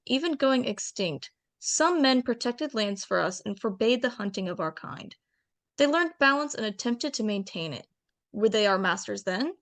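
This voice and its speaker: A woman voices a heartfelt female bear addressing a council of animals in a homemade radio play. Her earnest, pleading voice rides a lively, wide-ranging pitch and drops into gravelly low notes. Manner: earnest, pleading speech-making. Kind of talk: amateur radio drama table read.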